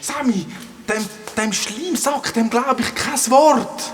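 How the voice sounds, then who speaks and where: A man's voice: very high-pitched, slow, heavy background noise. A man playing a jumpy, distrustful, bossy young adventurer in a Swiss German children's radio play, speaking urgently and insistently. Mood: distrustful, indignant. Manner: urgent, insistent, repeats commands.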